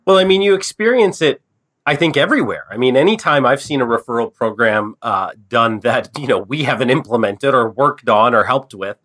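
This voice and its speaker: A man's voice: medium pitch, lively intonation, a little fast, fairly bright tone. A Canadian man hosting a casual business podcast, a little stuffed up from a cold, talking loosely with fillers and restarts. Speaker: podcast host.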